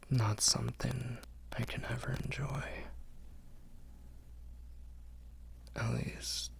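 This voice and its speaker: A man playing a protective, tender vampire lord in an intimate ASMR roleplay, whispering in a breathy, husky, reassuring way. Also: medium pitch, exaggerated voice. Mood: wistful, intimate. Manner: slow, hushed, reassuring. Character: protective, tender male vampire lord soothing his human.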